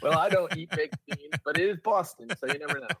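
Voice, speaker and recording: A man's voice: a little high, fast, fairly bright tone, a little hiss or hum. A man co-hosting a podcast, telling a personal anecdote in a casual, conversational way, step by step. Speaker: podcast co-host.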